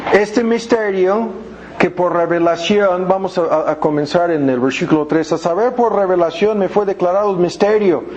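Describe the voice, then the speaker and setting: A man's voice: high voice, darkish tone, highs cut off. A male pastor preaching a sermon, reading verses aloud and quizzing his congregation as he explains them word by word. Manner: explains word by word with frequent asides. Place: church hall with congregation.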